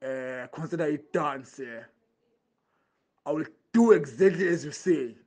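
An obsequious henchman, played by a man, talks slowly in a fairly high male voice. He drawls and repeats himself with servile eagerness, in audio drama style. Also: darkish tone.

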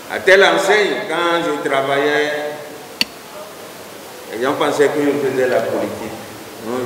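A middle-aged man, a city mayor and party leader, giving a slow, deliberate political statement into reporters' handheld microphones at a large rally hall. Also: mid-range voice, far from the mic. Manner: slow, deliberate, emphatic with repetitions. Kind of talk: formal political statement to press. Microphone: handheld reporter microphones held close. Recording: echoey hall, noisy crowd ambience.

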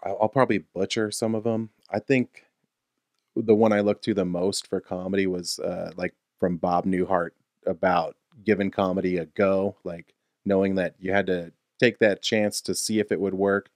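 An easygoing young man with a very deep voice talks as a guest on a comedy podcast. His delivery is dry and relaxed, picked up close on a studio mic. The small room sounds dead and dry. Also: moderate pace, no echo. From Arizona. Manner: laid-back, dry, easygoing. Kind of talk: casual comedic chat. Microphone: studio mic on boom arm.

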